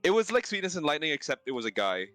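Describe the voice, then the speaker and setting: A man's voice: a little high, sing-song, very fast, a bit bright, soft background music. A man in casual stream banter, talking in a blunt, slangy way.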